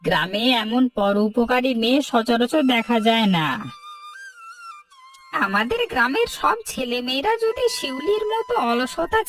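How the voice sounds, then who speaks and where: An effusive, hospitable cartoon villager, acted by a woman, full of admiration. The voice is high and very lively in pitch. It sits a little far from the mic. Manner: effusive, praising. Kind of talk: scripted cartoon dialogue.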